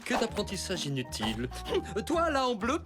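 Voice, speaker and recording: Woman playing a cartoon villain teacher, sly, stammering, lively sing-song delivery, music underneath. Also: medium pitch, normal speed. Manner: sly, hesitant, keeps stammering "euh" when caught out. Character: scheming male villain posing as substitute teacher. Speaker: dub actor in a children's cartoon. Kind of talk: animated cartoon dub acting. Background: background music.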